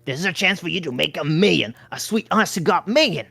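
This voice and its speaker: A woman's voice: lowish voice, animated, fast, gravelly, fairly bright tone, breathy edge, no echo, pitched above the performer's own voice, full character voice. Woman doing a brash, broke male hustler. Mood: hustling. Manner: pushy, wheedling, slangy.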